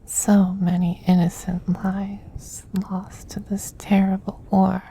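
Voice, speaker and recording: Man acting as male vampire queen, very dark soft tone, unhurried, doting, gently dominant, breathy whisper, ASMR roleplay. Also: sits high, completely flat delivery, slight background noise, heavily acted, low-quality recording. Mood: wistful, regretful. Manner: slow, soothing, gently dominant, intimate.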